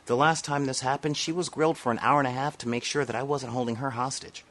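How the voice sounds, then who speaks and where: Middle aged american guy ranting to camera, earnest and emphatic, mid pitched voice. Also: a bit bright. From South Central Los Angeles, United States. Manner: emphatic, earnest, repeats phrases for stress. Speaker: vlogger. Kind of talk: unscripted opinion rant to camera.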